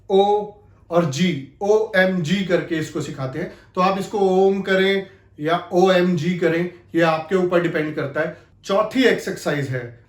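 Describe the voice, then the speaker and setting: A man giving an explanatory acting lesson, speaking straight to the viewer. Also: high-pitched. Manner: explanatory, addresses the viewer directly. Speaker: acting coach and presenter. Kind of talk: instructional video talk with spoken demonstrations. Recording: echoey, reverberant room.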